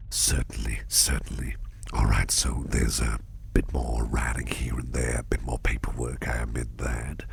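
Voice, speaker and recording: A man playing a kindly businessman boss in a soft ASMR roleplay, gentle, doting and reassuring in a breathy half-whisper. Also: extremely low pitch, a little hiss or hum, exaggerated voice. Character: kindly, reassuring businessman boss looking after a valued employee.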